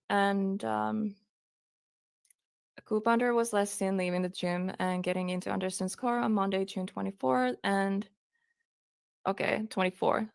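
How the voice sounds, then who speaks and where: Young woman with Finnish accent, true crime streamer; slow, hesitant delivery, crisp tone, dry room. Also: mid-range voice, no room sound. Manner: hesitant, many ums, reads quotes aloud. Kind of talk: casual live-stream case reading.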